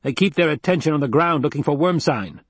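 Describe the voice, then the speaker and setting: A man's voice: a little high, animated, fast, breathy edge, no room sound, pitched above the performer's own voice. A man voicing a practical desert expert in an audiobook, giving brief, factual answers. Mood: matter-of-fact.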